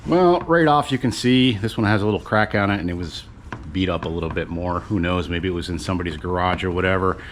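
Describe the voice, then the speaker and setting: A man's voice: low voice, fast, a little hiss or hum. A man casually presenting and explaining a gadget in an unscripted, rambling, conversational way as a video host. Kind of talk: casual unscripted product demonstration.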